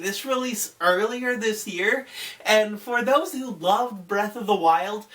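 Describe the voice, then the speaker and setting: Young woman vlogger, stuffy and congested, unhurried rambling chat, a bit off-mic. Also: medium pitch, a bit bright, recorded from a distance. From Utah. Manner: chatty, rambling, lots of "like" and "I mean". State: congested from a cold. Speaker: gaming channel host. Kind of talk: casual game recommendations.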